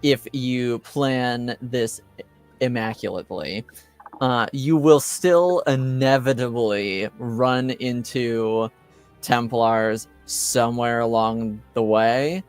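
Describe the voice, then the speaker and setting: A man running a tabletop roleplaying game as game master, speaking in a measured, hesitant way. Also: mid-range voice, clean tone. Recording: background music.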